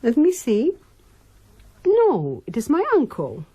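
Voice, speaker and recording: Husky, lively mother. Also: fairly high pitch, slow pace, heavily acted. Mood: defensive. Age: middle-aged. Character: proud, defensive middle-aged German mother, genteel. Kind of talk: radio drama acting.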